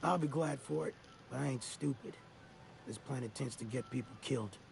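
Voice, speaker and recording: A man's voice: medium pitch, normal speed, creaky, a little hiss or hum, natural voice. An actor playing a grizzled older male ex-mercenary in a game, talking in a folksy, rambling drawl. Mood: weary. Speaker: game character.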